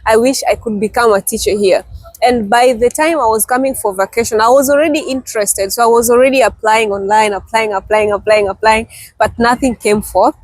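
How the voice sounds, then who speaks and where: A friendly, talkative woman from Kenya telling her own story as an interview guest. Her voice sits fairly high and sounds close and dry, with little room around it. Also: fairly even intonation, fairly quick, no room sound. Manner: friendly, chatty, laughs often. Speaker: guest teacher. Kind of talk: casual interview chat. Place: outdoors in the wind.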